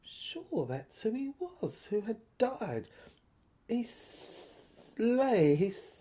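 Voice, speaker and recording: Halting female narrator of an audio drama. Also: fairly high pitch, very animated, warm tone. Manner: halting, repeats phrases as if thinking aloud. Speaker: narrator of a serial audio play. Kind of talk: dramatic first-person narration.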